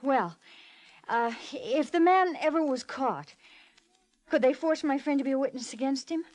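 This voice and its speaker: A woman's voice: high voice, lively intonation, normal speed, a little mellow. An actress playing a nervous, evasive young woman who hesitates and bristles, in an old radio detective drama. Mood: evasive, indignant. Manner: hesitant, stammering, indignant when pressed. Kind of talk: 1940s radio drama acting.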